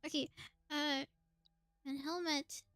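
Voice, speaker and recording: A woman's voice: high voice, fairly even intonation, slow, fairly bright tone, no echo. A woman doing casual live game commentary as a streamer, chatty and thinking aloud as she plays.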